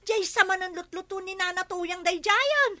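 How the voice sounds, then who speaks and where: Very high, piercing young village helper. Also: female voice, moderate pace, bright, forceful tone, dry recording. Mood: chatty. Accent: Filipino, Ilocano. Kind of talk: Ilocano radio comedy drama acting.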